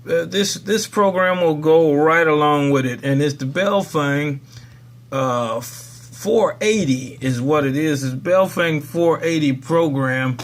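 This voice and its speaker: Male tutorial presenter, slightly high-pitched, folksy and unscripted, rambles and thinks aloud. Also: takes it slowly. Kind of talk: casual unscripted how-to walkthrough.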